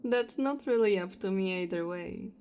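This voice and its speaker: A woman acting in an audio roleplay as a stern, menacing vampire hunter, speaking in a cold, deliberate, threatening way. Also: mid-range voice, darkish tone, slight background noise, natural voice. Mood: cold, disdainful.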